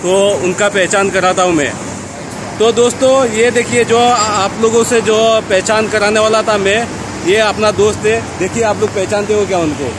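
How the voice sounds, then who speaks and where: A man's voice: sits high, steady tone, moderate pace, a crowd in the background. A man in his thirties vlogging on a city street at night, talking to viewers through a phone held at arm's length, chatty and repetitive. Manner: chatty, repeats "thik hai na", addresses viewers as friends. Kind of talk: walking city vlog. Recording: loud street and traffic noise. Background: traffic and street noise.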